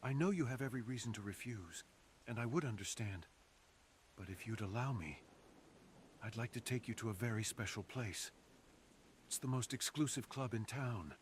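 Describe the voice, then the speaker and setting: A man's voice: mid-range voice, animated, normal speed, pauses often, a little hiss or hum, natural voice. A man playing a fox assassin in an ASMR roleplay, speaking theatrically and intimately to the listener, savoring his phrases. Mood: courteous, gentle. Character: menacing, sadistic male fox assassin with a remorseful streak. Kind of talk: ASMR roleplay, close dramatic monologue to the listener.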